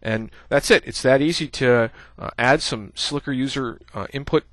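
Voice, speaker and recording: A man's voice: medium pitch, normal speed, a bit bright, no echo. A man giving a casual screencast coding tutorial, thinking aloud as he types, with many "uh" fillers. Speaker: programming instructor.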